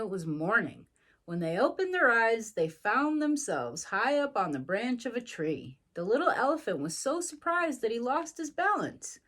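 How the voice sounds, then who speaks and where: A woman's voice: fairly high pitch, a bit bright. A woman reading a storybook to young children expressively, adding sympathetic little asides.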